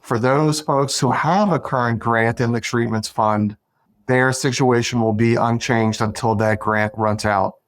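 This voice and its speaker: Measured man explaining as a guest. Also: mid-range voice, steady tone, dry recording. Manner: measured, explanatory, with hesitant fillers. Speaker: guest, foundation executive. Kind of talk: podcast interview.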